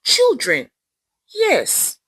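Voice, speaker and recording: A woman storyteller voicing an excitable, hopeful young woman in a Nigerian accent, exclaiming and talking things over to herself. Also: high voice, drawn-out words, dry recording, studio quality, voice pushed up, full character voice. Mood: excited.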